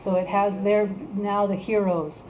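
A woman's voice: mid-range voice, flat delivery, a little slow, a little mellow, slight background noise, rough recording. A woman from Iowa giving a chatty, informal talk to an audience as a guest speaker, with frequent ums and laughs. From Iowa, USA.

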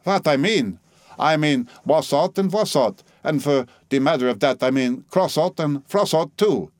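A man's voice: a little high, expressive, a little slow, no echo, clean studio sound, voice pushed up. A man voicing an indignant eighty-two-year-old French woman who speaks broken English with a French accent. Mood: scolding. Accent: put-on French accent.

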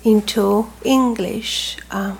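Slow, halting woman moderator. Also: mid-range voice, fairly even intonation, vocal fry, slightly rough, poor audio. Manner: halting, searching for words. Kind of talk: moderating a panel discussion.

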